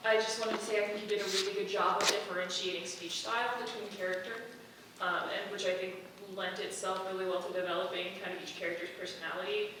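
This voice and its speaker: A woman's voice: medium pitch, fairly even intonation, quick pace. A woman giving informal, constructive feedback as a member of an audience. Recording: very echoey room, distant mic, clear noise.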